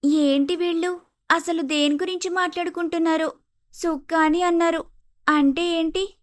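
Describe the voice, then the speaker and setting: A woman's voice: very high-pitched, fairly even intonation, moderate pace. A woman voicing a polite, naive young daughter-in-law with an Andhra Telugu accent, exclaiming in a cartoon story. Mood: puzzled. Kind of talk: acted dialogue in animated moral story.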